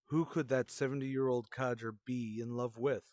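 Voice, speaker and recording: A man's voice: medium pitch, steady tone, moderate pace, darkish tone, no echo, close to an everyday voice. An actor playing a grumpy, sarcastic older male school principal in a radio comedy, speaking in a dry, withering, pompous way. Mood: grumbling, scornful. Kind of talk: old-time radio comedy acting.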